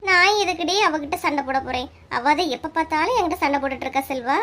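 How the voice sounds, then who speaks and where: Very high-pitched chatty young village woman, acted out. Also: fast talker, bright, forceful tone. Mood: complaining. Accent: Kanyakumari Tamil. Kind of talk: comic cartoon voice acting.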